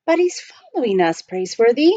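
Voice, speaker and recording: Woman doing a worried little boy from a storybook, earnest n fretful, high lively voice. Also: fairly quick, dry recording, highs cut off. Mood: anxious. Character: earnest, anxious young boy adventurer.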